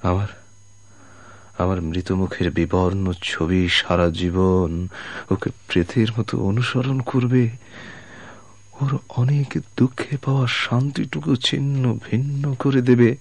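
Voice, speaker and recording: An actor playing a broken, dying sailor who has returned home, gentle and self-sacrificing, in tragic radio drama style with halting, pleading, emotional delivery. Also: male voice, mid-range voice, steady tone, no echo. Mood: dying plea.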